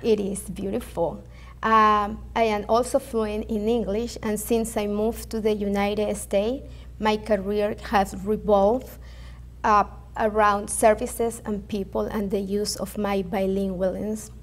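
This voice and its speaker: A woman from Venezuela with a Venezuelan Spanish accent, answering interview questions carefully and haltingly. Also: medium pitch, level tone. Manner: careful, halting, with fillers and restarts. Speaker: real estate agent guest.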